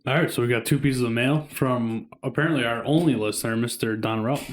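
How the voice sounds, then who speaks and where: A man's voice: medium pitch, brisk. A man co-hosting a casual podcast, firing back blunt, teasing comebacks at his friend. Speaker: podcast co-host.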